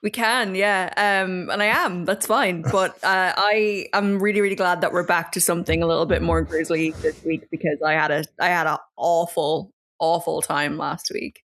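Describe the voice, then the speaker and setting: A woman's voice: fairly low pitch, fairly even intonation, normal speed, few pauses, a bit bright. A young woman co-hosting a podcast, chatting casually in a self-deprecating, giggly, rambling way. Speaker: podcast co-host. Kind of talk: casual chat.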